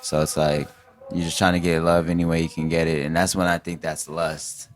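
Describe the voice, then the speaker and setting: A man's voice: rumbling low, flat delivery, fairly quick. A man co-hosting a podcast, chatting casually in slangy, informal phrasing. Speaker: podcast co-host. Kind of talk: casual chat.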